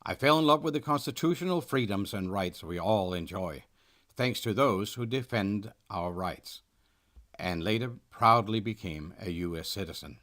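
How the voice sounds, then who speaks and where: A man, a nonprofit founder reading a scripted first-person presentation in an earnest, measured way. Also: fairly low pitch, animated, a bit bright. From Denmark. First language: Danish. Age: 60s. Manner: earnest, measured read-aloud delivery.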